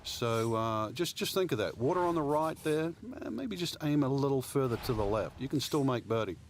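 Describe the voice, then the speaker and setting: A man's voice: mid-range voice, animated, a little fast, background noise, lightly acted. A man playing a golf analyst in a video game, giving loose, conversational strategy advice as co-commentator. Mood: easygoing analysis.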